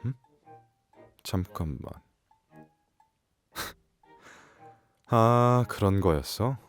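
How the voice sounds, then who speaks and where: An actor playing a sharp, condescending wolf shapeshifter in an audio drama, questioning with sardonic formal politeness. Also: male voice, fairly low pitch, expressive, drawn-out words, faint music behind. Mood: mocking.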